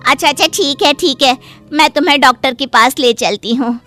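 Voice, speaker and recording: A woman's voice: high-pitched, normal speed, sharp tone, no room sound, soft background music. A woman voicing an exasperated middle-aged mother-in-law in a cartoon moral story, stern and nagging. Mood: concerned.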